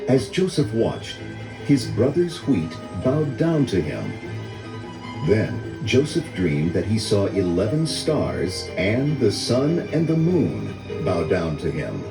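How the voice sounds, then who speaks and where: An older man reading a children's story in a measured, dramatic storytelling manner. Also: on the low side, huge pitch swings. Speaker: read-along story narrator. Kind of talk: scripted children's story narration. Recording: roomy echo, music under voice. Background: orchestral music.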